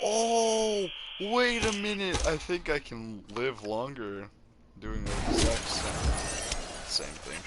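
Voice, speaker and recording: A teenage boy talks slowly and meanders through his thoughts while he plays, cracking self-aware jokes between bursts of loud reaction to the game. His voice sits at a middling pitch, and a clear bed of background noise runs under the recording. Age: teens. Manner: rambling, joking, self-aware asides. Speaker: gaming channel host. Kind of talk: rambling commentary over gameplay.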